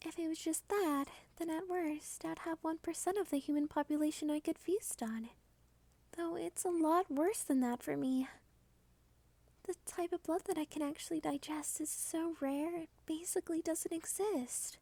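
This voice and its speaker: A cute, hungry vampire girl, acted by a woman for an ASMR roleplay, with a high, mellow voice. She is doting and domineering, teasing as she drifts toward breathy whispers. The sound is close and dry, with no room around it. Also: dry recording. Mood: eager, admiring. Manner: slow, teasing delivery slipping into breathy whispers. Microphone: close studio microphone.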